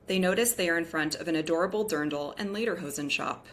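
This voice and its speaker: Low-voiced woman reading stage directions. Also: fast, a bit bright, a little hiss or hum. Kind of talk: scripted narration at a table read.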